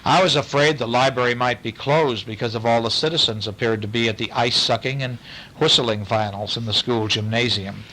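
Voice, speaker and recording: A man's voice: mid-range voice, brisk, slight background noise, rough recording. A man reading a bedtime story aloud expressively, stopping to chat with and question a young listener. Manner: animated questioning, explains words to listener.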